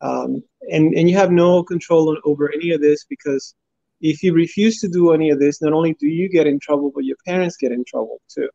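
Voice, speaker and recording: A man in his forties from Cuba, a guest answering interview questions in an earnest, steady way over his phone. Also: fairly high pitch, fairly quick, gravelly, no echo. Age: 40s. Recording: dull phone audio.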